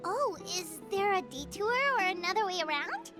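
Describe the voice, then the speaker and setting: A woman voicing a tiny, fussy fairy companion in a video game, with a cute, squeaky, childlike voice. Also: expressive, normal speed, over music. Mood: puzzled.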